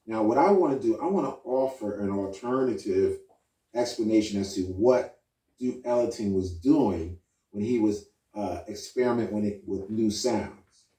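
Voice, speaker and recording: A man's voice: lowish voice, measured pace, warm tone. Guy doing argumentative commentary video, explains stuff right at the viewers, roomy sound, kinda far from mic. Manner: addresses viewers directly, explanatory and insistent. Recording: roomy echo, distant from mic.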